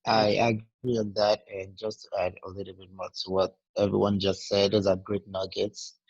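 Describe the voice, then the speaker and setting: A man's voice: lowish voice, flat delivery, normal speed, vocal fry, a little mellow, dry recording, muffled recording. A man with a Nigerian accent, talking earnestly through sales advice in a casual group training session. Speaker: sales agent.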